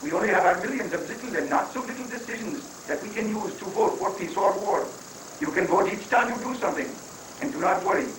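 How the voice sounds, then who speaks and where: A high-voiced, coaxing guru played by a man counsels patiently in stilted, halting phrases. It is a satirical play reading, heard from off the mic in an echoing, noisy room. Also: lively intonation, a bit bright. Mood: earnest counsel. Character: calm, coaxing male guru advising a president. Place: roomy indoor live reading, far from the mic. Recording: roomy, distant, noisy 1980s live recording.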